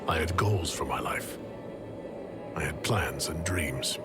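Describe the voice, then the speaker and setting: An actor playing a courteous, composed male commander and hero in a fantasy game, speaking in a measured, earnest way. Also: extremely low pitch, loud music behind the voice.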